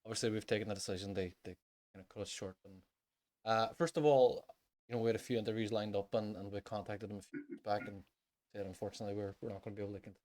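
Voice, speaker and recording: A man's voice: lowish voice, steady tone, racing, a little mellow. Northern Irish man, casual podcast host. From Northern Ireland.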